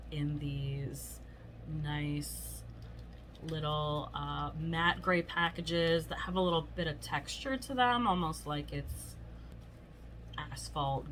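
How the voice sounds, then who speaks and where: A hoarse woman chatting casually through a beauty product review, rambling with lots of fillers. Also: low-pitched, background noise. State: hoarse from illness. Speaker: beauty vlogger. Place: home.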